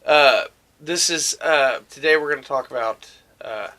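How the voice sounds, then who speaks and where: A man hosting a casual, rambling podcast chat, haltingly searching for words and often repeating his phrases. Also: medium pitch, sharp tone. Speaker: podcast host.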